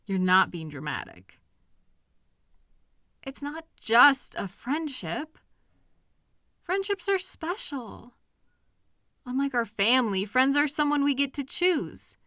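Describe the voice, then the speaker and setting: A woman playing a caring, protective partner in an intimate comfort audio roleplay, speaking gently and earnestly in a reassuring, conversational way with hesitant pauses. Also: sits high, very animated, dry recording, studio quality, full character voice. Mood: soothing. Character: caring, protective partner consoling a hurting loved one.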